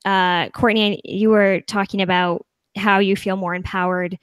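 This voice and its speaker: A young adult woman on a video call, talking things through reflectively with a high voice and plenty of fillers. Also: little pitch movement, measured pace, clear, resonant tone, dry recording. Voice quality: high-pitched voice. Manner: reflective, thinks aloud with many fillers. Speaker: panel guest. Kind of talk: casual panel discussion. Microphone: video call mic.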